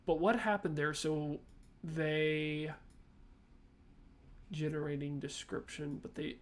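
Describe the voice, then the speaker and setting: A man hosting a reaction video, giving casual off-the-cuff commentary and thinking aloud in halting, piecemeal phrases. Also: fairly high pitch, heavy background noise.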